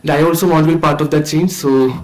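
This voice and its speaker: A nineteen-year-old man with an Indian accent gives an earnest, halting, informal thank-you speech as a student filmmaker. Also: a little high, fairly even intonation, rough recording. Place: event stage at a film festival.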